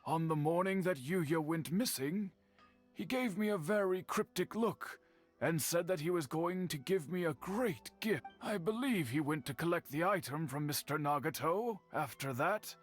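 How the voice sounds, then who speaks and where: A man's voice: fairly high pitch, lively intonation, normal speed. A man playing a stoic, dignified older master swordsmith in a video game, formal and grave, with music behind him. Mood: troubled, resigned. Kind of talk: scripted video game dialogue.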